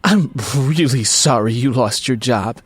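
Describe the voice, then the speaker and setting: A man's voice: medium pitch, lively intonation, measured pace, husky, no room sound. A man voicing a guarded, exasperated woman in an audiobook story, blunt and reluctant in manner. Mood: apologetic. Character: exasperated, guarded young woman with broken-down car.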